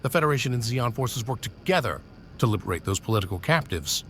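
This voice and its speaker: A man's voice: mid-range voice, animated, fast, close to an everyday voice. A stern senior officer barking clipped, commanding orders at his subordinate , as a man plays him. His voice sits in a middle register, with a faint hiss of noise under it in this sci-fi audio drama.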